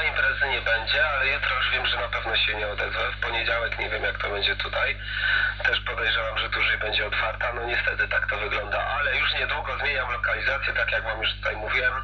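A man's voice: medium pitch, fairly even intonation, a little fast. A man chatting casually as a CB radio operator, heard through a radio. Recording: muffled, noisy CB radio reception with hum.

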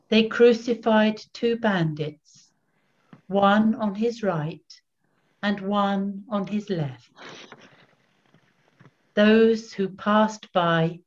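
An older woman narrating scripture solemnly from a script, phrase by phrase, over a video call at home. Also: mid-range voice. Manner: measured, phrase-by-phrase reading. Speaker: narrator, Evangelist. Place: small room at home. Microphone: computer video call. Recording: dull lo-fi video-call audio.

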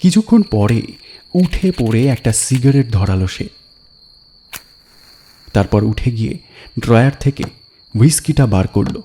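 Male story narrator with a mellow voice. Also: mid-range voice, lively intonation, a little slow, pauses often, a little hiss or hum. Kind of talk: dramatic scripted story narration. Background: background music.

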